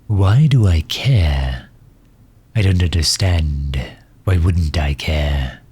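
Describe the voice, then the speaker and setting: A man playing a courtly megalomaniac villain in an ASMR audio drama, speaking formally and with measured, gentle solicitude. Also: expressive, a little hiss or hum. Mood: gentle concern. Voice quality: husky, low. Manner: formal, measured, silky endearments.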